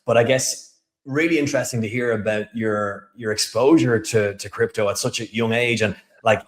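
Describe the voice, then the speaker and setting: A man's voice: on the low side, steady tone, moderate pace. A man hosting a podcast interview, effusive and full of praise, often stammering and repeating words. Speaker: podcast host.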